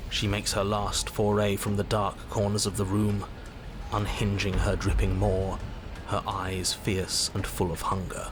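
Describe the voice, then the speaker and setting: Man as a low, ominous storybook narrator. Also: completely flat delivery, heavy background noise, close to an everyday voice. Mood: grave, ominous. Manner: measured, ominous, descriptive. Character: grave storybook narrator of a horror game. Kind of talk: dramatic scripted second-person narration.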